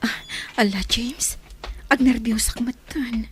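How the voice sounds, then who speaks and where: Respectful younger Ilocano woman, fairly high voice, soft-hearted, radio drama acting. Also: steady tone, slow pace, faint music behind. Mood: shy, friendly.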